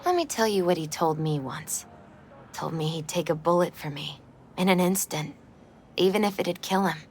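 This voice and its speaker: A woman playing a melancholy girlfriend in her twenties, grieving in a video game scene with quiet, reflective, halting phrases. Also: fairly low pitch, expressive, a little hiss or hum, lightly acted. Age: 20s. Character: wistful twenty-six-year-old grieving girlfriend, soft-hearted, melancholy. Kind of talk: cinematic video game acting.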